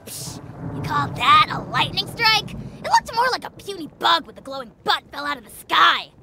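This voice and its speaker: A woman voicing a pompous, spiteful little dragon lord in a video game, with a shrill, creaky, cartoonish delivery and theatrical haughtiness. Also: sing-song, normal speed, over music, full character voice, low-quality recording. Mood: scornful, smug. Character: tiny arrogant dragon lord, bombastic, spiteful, easily enraged. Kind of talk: video game character voice acting.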